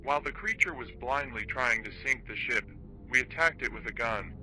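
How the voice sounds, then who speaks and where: A man playing a solemn documentary narrator of monster attacks, reading a formal, measured horror script. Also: mid-range voice, sharp tone, rough recording. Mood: grave. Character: grave male documentary narrator reporting giant monster attacks. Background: background music.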